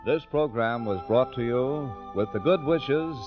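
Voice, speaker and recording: A man's voice: mid-range voice, measured pace. An American man reading a sponsor announcement in 1940s radio announcer style. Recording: muffled old radio recording over loud music.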